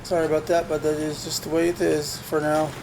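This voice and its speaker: A man talks loosely through his gameplay, circling back and restarting his sentences as he goes. His voice sits a little high for a man. He is recorded at home on a USB desk mic, and the game audio is clearly audible under him. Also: completely flat delivery, fairly quick. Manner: rambling, thinks aloud with restarts. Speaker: gaming channel host. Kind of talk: casual gameplay commentary. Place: home computer setup. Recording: noisy game sound behind voice. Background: truck game sounds.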